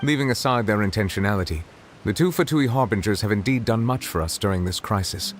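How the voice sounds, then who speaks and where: A man voicing a solemn, dignified dragon who serves as chief judge in a video game, speaking in a formal, measured, grave manner. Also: lowish voice, a little hiss or hum, lightly acted. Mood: resolute, formal. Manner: formal, measured, eloquent, reserved. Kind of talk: video game character dialogue. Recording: game dialogue over background music.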